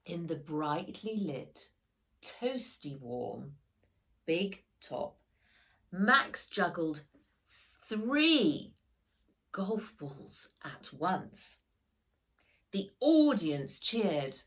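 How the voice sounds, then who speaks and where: A woman reading a children's storybook aloud as its author, with animated, expressive delivery and dramatic emphasis. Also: on the low side, very slow, pauses often, rough recording.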